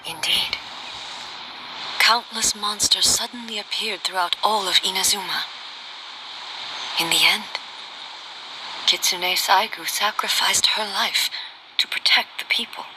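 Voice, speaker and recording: A woman voicing a noble, ageless warrior god in a video game, speaking in a measured, formal, grave manner. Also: medium pitch, fairly even intonation, background noise, natural voice. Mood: sorrowful, grave. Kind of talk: English video game voice acting. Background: game music.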